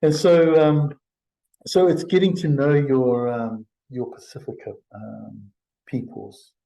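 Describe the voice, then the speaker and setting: A man from Samoa, giving an informal webinar presentation in a halting, conversational way with frequent pauses. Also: medium pitch, fairly even intonation, vocal fry, mellow, rough recording. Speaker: health and safety presenter.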